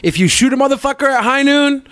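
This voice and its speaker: A man's voice: very high voice, fast talker, fairly bright tone, no room sound. A man hosting a podcast with flippant, crude and provocative joking banter.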